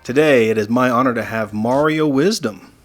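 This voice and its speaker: A man's voice: mid-range voice, moderate pace, soft background music. A man hosting a podcast, chatting casually as he interviews.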